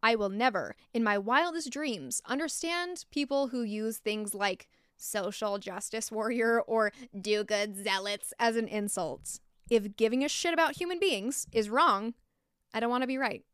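A woman's voice: on the high side, unhurried, a bit bright, smooth, no echo. An American woman hosting a podcast, narrating a true story with blunt, opinionated asides from a close mic. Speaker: podcast host.

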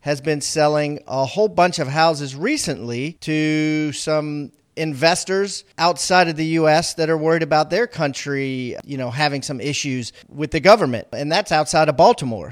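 A man's voice: fairly high pitch, moderate pace, few pauses. A man hosting a podcast interview, talking conversationally with many fillers. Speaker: podcast host.

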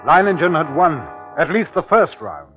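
An actor playing an anxious, observant commissioner recounting a siege in a 1950s radio drama. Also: male voice, fairly high pitch, sing-song. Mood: grave narration. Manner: measured, dramatic storytelling. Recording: tinny 1950s radio recording with music.

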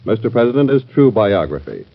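A man's voice: on the low side, big pitch swings, a little fast, gravelly, breathy edge. A man reading a scripted introduction as a stately, earnest 1940s radio announcer. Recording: dull old radio recording.

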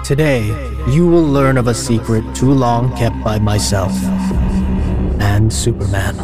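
A man's voice: mid-range voice, lightly acted. An actor playing a scheming billionaire villain with a self-assured, showman-like way of speechmaking in an audio drama. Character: smooth scheming billionaire villain addressing a crowd.

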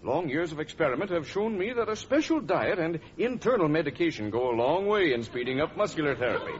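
A man playing a shrewd, self-important horse doctor in 1940s radio comedy, speaking in a pompous, measured, formal way. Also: on the high side, very animated. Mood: brisk, authoritative. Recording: muffled, faintly noisy old radio recording.

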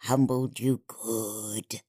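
A woman playing a sassy old hag who narrates a folksy audio drama and likes to bicker, with a drawn-out delivery. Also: extremely low pitch, steady tone, dark tone, slightly rough, no room sound, clean studio sound, full character voice. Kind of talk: folksy theatrical storytelling narration.

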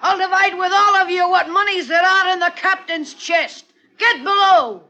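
High-pitched, scheming mutinous sailor. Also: male voice, normal speed, pushed and bright, exaggerated voice. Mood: scornful command. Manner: coaxing, taunting, commanding. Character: murderous, goading mutinous sailor, sly and scornful. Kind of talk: old-time radio drama acting. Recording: noisy vintage radio recording.

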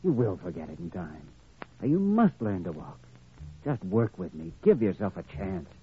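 An actor playing a determined, compassionate young doctor, firm and earnest, in 1940s radio drama style. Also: male voice, low voice, sing-song, normal speed. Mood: urging. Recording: vintage radio recording, narrow band.

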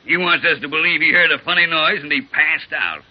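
An actor playing a gruff, skeptical police detective captain in a 1940s radio drama, blunt and slangy. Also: male voice, fairly high pitch, fairly quick, natural voice. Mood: incredulous. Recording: muffled old radio recording.

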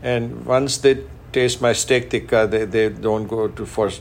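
A man's voice: medium pitch, fairly even intonation, a little slow, vocal fry, slight background noise. A middle-aged man from Pakistan and India, a chef and restaurant owner being interviewed, who talks with enthusiasm and repeats words as he lists things. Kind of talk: interview guest.

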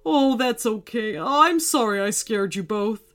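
Lady doing a big sorry skeleton guy, polite, bouncy pitch. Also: expressive, a little slow, a bit bright. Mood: friendly. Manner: polite and apologetic. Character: giant male ninja skeleton, gruff turned sheepish. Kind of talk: children's storybook reading.

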